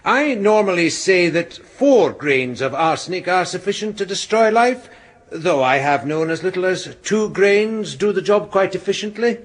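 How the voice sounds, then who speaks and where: A husky, fairly high-voiced male professor in a courtroom radio drama. He is pedantic and sure of himself. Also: expressive, normal speed. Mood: assured, exacting. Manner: pedantic, certain. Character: precise, fussy male chemistry professor as expert witness.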